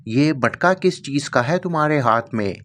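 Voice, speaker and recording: A man's voice: medium pitch, animated, normal speed, fairly bright tone, close to an everyday voice. A man voicing a generous, hearty rich landlord in a cartoon story.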